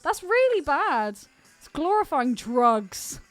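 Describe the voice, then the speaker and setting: A woman's voice: high-pitched, sing-song, slow pace, no room sound, faint music behind. A woman co-hosting a podcast, chatting casually about films in an informal, slangy, candid way. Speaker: podcast co-host. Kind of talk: casual film chat.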